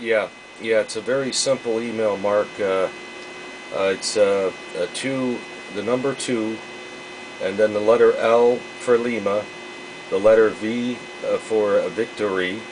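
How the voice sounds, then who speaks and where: Casting: male ham radio operator, lowish pitch, hesitant casual delivery, noisy humming recording. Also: steady tone, a little slow. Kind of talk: casual radio contact chat.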